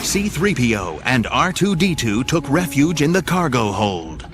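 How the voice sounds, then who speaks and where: A man reads scripted narration for an adventure audio drama with a dramatic storytelling delivery. His pitch is average, and prominent music plays behind him. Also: big pitch swings, unhurried.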